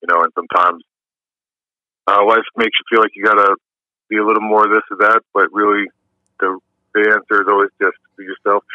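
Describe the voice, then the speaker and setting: A man with a low voice, giving easygoing, rambling interview answers full of fillers. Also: level tone, brisk, long pauses, dry recording. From New York. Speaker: musician guest. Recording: dull lo-fi dry sound.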